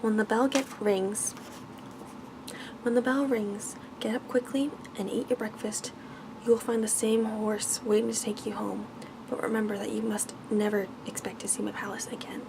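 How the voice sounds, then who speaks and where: A woman voicing a stern, courteous beast lord in a storybook, formal, calm and commanding, in a soft bedtime reading. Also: on the high side, moderate pace, vocal fry, background noise.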